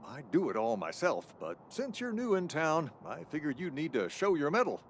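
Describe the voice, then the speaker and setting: Old man, video game shopkeeper, brash, folksy drawl, highly animated pitch, noisy background. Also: moderate pace. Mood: gruff, boastful. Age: older. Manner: folksy, blustering. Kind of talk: video game character acting.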